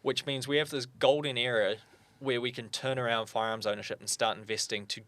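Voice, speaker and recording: A man's voice: mid-range voice, steady tone, fairly bright tone. Earnest young New man. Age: young adult. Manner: earnest, fluent advocacy with quick asides. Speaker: guest, firearm owners' spokesperson. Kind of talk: casual interview chat.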